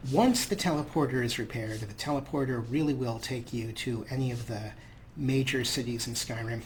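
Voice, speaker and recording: A man with a medium-pitched voice hosts a game playthrough. He talks casually and playfully, slipping into in-character replies, with faint noise behind him. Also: normal speed. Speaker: Let's Play host.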